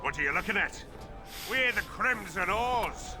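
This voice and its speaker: A boastful, rowdy mercenary from a fantasy game. His voice is high for a man and he takes his time over his swaggering, crude talk, with music clearly behind him. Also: expressive, unhurried. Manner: swaggering, loud bursts. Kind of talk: video game dialogue.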